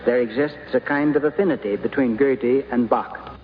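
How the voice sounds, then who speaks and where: A man's voice: medium pitch, normal speed. A man interpreting a lecture formally, sentence by sentence. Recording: tinny, noisy old hall recording, reverberant.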